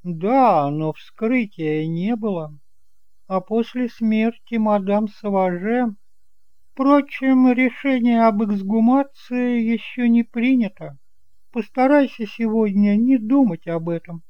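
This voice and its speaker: Man voicing a high-pitched, firm older aunt. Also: slow pace, smooth, no room sound, dull audio. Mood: grave, firm. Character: stern, controlling middle-class aunt, older woman.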